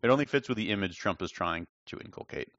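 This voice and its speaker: A man co-hosting a podcast over a remote call, dry and quick with a pun. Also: deep voice, lively intonation, fairly bright tone, no room sound, highs cut off. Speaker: podcast co-host. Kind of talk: casual discussion.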